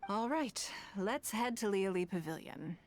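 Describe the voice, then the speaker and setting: A woman's voice: medium pitch, expressive, measured pace, slight background noise, close to an everyday voice. A woman playing a gracious, self-assured noblewoman in a video game, speaking in a polished, composed way. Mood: relieved, confident.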